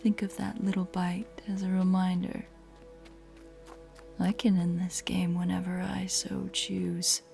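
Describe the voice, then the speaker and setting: A woman playing a predatory, teasing spider-woman monster in a horror audio roleplay, with a breathy, whispery voice and a taunting, menacing manner. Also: on the low side, flat delivery, warm tone, soft background music. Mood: smug threat. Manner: slow, taunting, playfully sinister.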